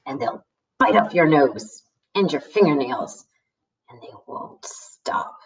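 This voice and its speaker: A woman's voice: low-pitched, big pitch swings, takes it slowly, voice pushed down, exaggerated voice. A woman voicing a mad old hospital patient in a scratchy, whispery, conspiratorial voice, as in a read-aloud story. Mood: menacing whisper. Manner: conspiratorial, unhinged. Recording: roomy echo, distant from the mic.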